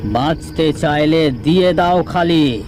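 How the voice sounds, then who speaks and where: Menacing slow-talking bandit chief, music behind. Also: male voice, fairly high pitch. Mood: menacing, mocking. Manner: taunting, swaggering. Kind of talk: dubbed cartoon villain acting.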